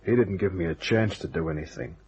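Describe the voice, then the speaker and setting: A man's voice: sits low, fairly quick, darkish tone, slightly rough. An actor playing a wry, tough private detective in a radio drama, wisecracking and hard-boiled, who also narrates his case. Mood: hard-boiled narration. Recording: dull, band-limited old radio recording.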